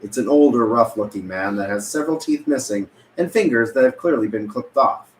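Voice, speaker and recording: A man's voice: mid-range voice, a little fast. Man narrating a script in echoey room. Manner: stumbles over words and corrects himself. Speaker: stage-direction narrator. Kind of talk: script table-read narration. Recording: echoey room with background noise.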